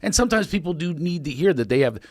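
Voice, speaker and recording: A middle-aged man hosting a podcast in casual chat, playful and quick to laugh as he riffs on jokes. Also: fairly high pitch, very animated, a little mellow, no echo. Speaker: podcast host.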